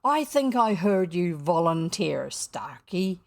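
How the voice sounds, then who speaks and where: A woman's voice: on the low side, lively intonation, slow pace, vocal fry, no room sound, heavily acted. Woman putting on a theatrical, menacing pirate captain. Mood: purring, steely threat. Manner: purring, steely threats and thundering outbursts. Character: villainous male pirate captain, menacing, mock-courteous, theatrical.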